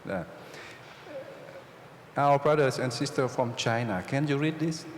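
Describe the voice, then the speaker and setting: A Jesuit priest from Vietnam with a Vietnamese accent lectures a live audience, using simple, repeated phrases and often checking in with them. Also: male voice, medium pitch, lively intonation, measured pace. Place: oratory hall with a live audience. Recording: roomy hall reverb, faint noise.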